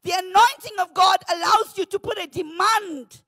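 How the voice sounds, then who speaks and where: A woman pastor with a South African accent, preaching a morning devotion from a church pulpit into a handheld mic, often shouting, then dropping to a hush. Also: very high voice, moderate pace, no echo, clean studio sound. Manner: fervent, often shouting, swings from loud to hushed. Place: church stage behind a pulpit. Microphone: handheld microphone.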